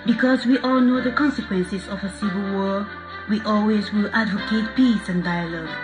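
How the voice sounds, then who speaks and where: A woman's voice: medium pitch, poor audio. Solemn woman reciting over music. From Cameroon. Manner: measured, solemn, declamatory. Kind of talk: solemn recited peace message. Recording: spoken over a loud music bed.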